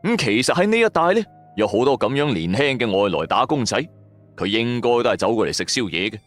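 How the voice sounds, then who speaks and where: 粵語男聲講故，抑揚起伏好大. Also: male voice, medium pitch, a little fast, faint music behind. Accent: Cantonese. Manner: colloquial, expressive. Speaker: storyteller. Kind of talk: dramatic scripted first-person narration.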